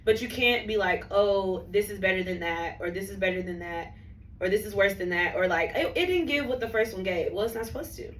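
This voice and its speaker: A hoarse woman co-hosting a film podcast, chatting casually in slang and often restarting her sentences. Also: mid-range voice, a little fast, a bit bright. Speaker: podcast co-host. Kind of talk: casual chat. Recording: roomy, a bit distant, faint hiss.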